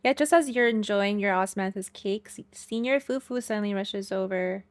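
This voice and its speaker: A woman's voice: mid-range voice, moderate pace, no echo. A woman streamer reacting casually to a game and reading its narration aloud.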